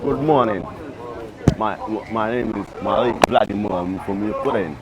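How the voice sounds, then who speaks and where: A man's voice: fairly low pitch, a little slow, heavy background noise. Man putting on a pushy fake army recruiter. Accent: Jamaican. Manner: insistent, repetitive questioning. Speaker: comedian doing street prank. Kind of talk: street comedy prank.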